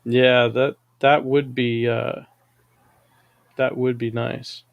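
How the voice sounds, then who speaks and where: A man's voice: medium pitch, level tone, slow, gravelly, no echo, lo-fi sound. Male stream host, casual and chatty, reads comments, dry close sound. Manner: chatty, reads viewer comments aloud, laughs often. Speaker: show host. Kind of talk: casual chat on a live stream.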